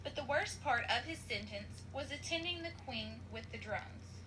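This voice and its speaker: A woman reading a children's story aloud as scripted narration, in an expressive storytelling manner. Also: on the high side, normal speed, noisy background. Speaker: storybook reader.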